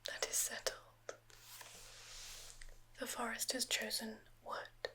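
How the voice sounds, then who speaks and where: A woman voicing a soft-spoken, quietly sinister forest witch healer in a whispered ASMR roleplay, with slow, soothing, drawn-out words and murmured hums. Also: on the high side, level tone. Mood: hushed, soothing. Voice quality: whispering, unvoiced.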